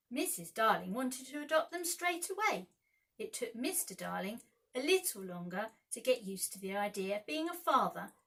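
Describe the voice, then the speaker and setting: A woman narrating a children's story expressively, with dramatic, measured phrasing. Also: fairly high pitch, fairly bright tone, husky. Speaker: storyteller, school staff reader.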